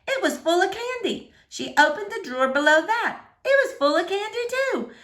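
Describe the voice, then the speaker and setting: A woman reading a children's book aloud as scripted, expressive narration. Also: extremely high pitch, moderate pace. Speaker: storybook reader.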